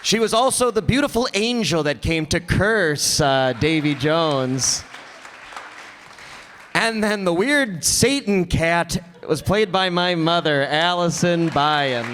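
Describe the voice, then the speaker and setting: A man's voice: a little high, expressive, slow, fairly bright tone. A man hosting a live stage show, announcing casually and effusively with some stumbles. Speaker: announcer, host of radio players troupe. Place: stage before live audience. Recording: live room, crowd, faint noise.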